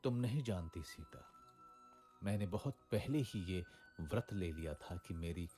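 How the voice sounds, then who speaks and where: Devoted prince played by a man, cartoon epic: low, dark timbre, slow, tender and solemn. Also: expressive, faint music behind. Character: gentle, devoted young prince, loving husband. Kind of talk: mythological cartoon dialogue.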